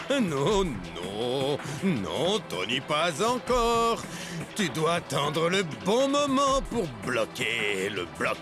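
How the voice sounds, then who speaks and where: Scheming, theatrical cartoon villain. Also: male voice, on the high side, huge pitch swings, very slow, over music, exaggerated voice. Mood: amused scheming. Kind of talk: animated series French dub acting.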